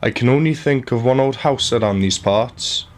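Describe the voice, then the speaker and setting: An actor playing an easygoing, folksy local road worker in a radio play, speaking colloquially. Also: male voice, mid-range voice, moderate pace, close to an everyday voice. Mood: reminiscing, intrigued. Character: easygoing local road worker, reminiscing about old tales.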